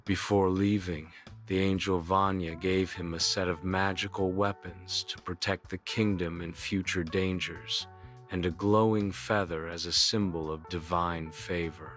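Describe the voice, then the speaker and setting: A man's voice: low voice, steady tone, unhurried, fairly bright tone, faint music behind. A man narrating a scripted children's bedtime story in a solemn, formal storytelling manner.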